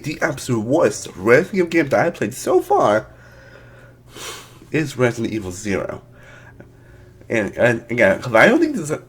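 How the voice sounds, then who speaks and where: A man's voice: mid-range voice, slightly rough. A young man hosting a casual game ranking video, rambling with many restarts, his voice a little off from allergies. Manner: rambling, with frequent restarts and fillers. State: voice a bit off from allergies. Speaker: video game reviewer, channel host.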